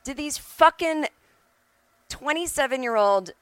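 A woman's voice: high voice, lively intonation, unhurried, fairly bright tone, no echo. A middle-aged woman hosting a solo podcast, talking casually and bluntly, with emphatic, sweary opinions. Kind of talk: casual opinionated monologue, ranting. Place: at home.

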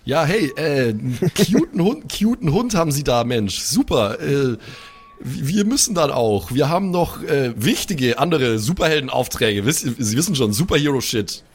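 A man's voice: mid-range voice, very animated, normal speed, breathy edge. A man playing an awkward, chatty superhero, rambling hesitantly in slangy speech peppered with English words. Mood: awkward, hurried.

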